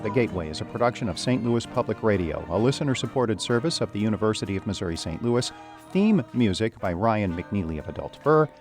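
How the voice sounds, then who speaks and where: A man's voice: on the low side, expressive, fairly quick. A man hosting a radio program, reading a scripted sign-off. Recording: music under the voice. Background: music.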